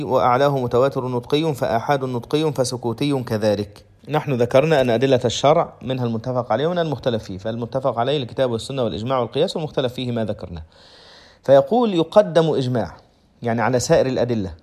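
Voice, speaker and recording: Brisk man teaching law. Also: medium pitch, darkish tone. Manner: methodical, restates each point in simpler words. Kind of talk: lecture explaining a legal text.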